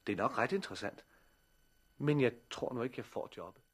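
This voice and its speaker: Keen nerdy teenage boy in an old 60s radio play, bit husky, earnest. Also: medium pitch, expressive. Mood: earnest, absorbed. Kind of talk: 1960s radio drama acting. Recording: 1960s radio drama recording.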